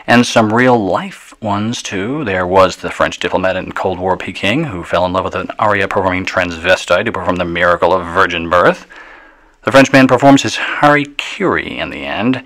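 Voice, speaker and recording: Man playing a low-voiced, eccentric radio DJ. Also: animated, fairly bright tone. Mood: wry, musing. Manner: verbose, wry, dramatic, drawn-out musing. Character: eccentric, provocative, rambling male opera-broadcast radio DJ. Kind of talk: theatrical live radio broadcast monologue.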